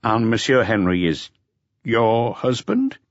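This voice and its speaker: Timid travelling salesman, nervous and hushed, put on by a male reader. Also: on the low side, big pitch swings, slow pace, no echo, highs cut off, pitched above the performer's own voice, exaggerated voice.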